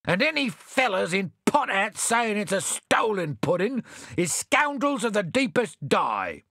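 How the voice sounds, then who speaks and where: A man voicing an indignant, rough-spoken koala who shouts insults and defends his pudding. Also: sits high, big pitch swings, measured pace, dry recording, clean studio sound, voice pushed up, exaggerated voice.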